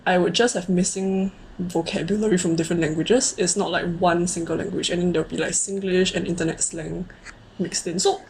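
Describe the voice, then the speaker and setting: Low-pitched Singaporean woman chatting casually. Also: fairly even intonation, a little fast, noisy background. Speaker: co-host of a conversational video series. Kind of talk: casual chat.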